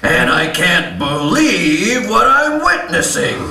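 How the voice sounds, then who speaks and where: A man's voice: high voice, sing-song, takes it slowly, creaky, crowd noise, exaggerated voice. A man voicing a grumpy, judgmental, sardonic puppet sidekick in a satirical live show. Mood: grumbling. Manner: sardonic, grumbling. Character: grumpy, judgmental male puppet named Chuck, sarcastic sidekick. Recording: echoey live stage, distant, loud music.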